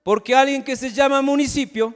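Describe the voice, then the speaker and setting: A man's voice: extremely high pitch, steady tone, a little fast, clear, resonant tone. A man from Ecuador, preaching a sermon as pastor and firing rhetorical questions at the congregation. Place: church sanctuary. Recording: long hall reverb.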